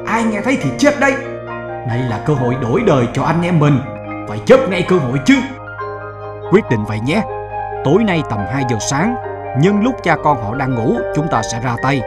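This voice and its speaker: A man's voice: a little high, very animated, normal speed, echoey room, music in the background. A man voicing a ruthless, greedy sailor gang boss who speaks in a bossy, conspiratorial way. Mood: scheming. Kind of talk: animated fairy-tale dubbing.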